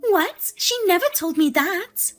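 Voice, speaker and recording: A woman doing a shrill scornful sister-in-law. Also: expressive, a little slow, soft background music. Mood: indignant. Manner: scornful, loud and accusing. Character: shrill, demanding female sister-in-law, a mother.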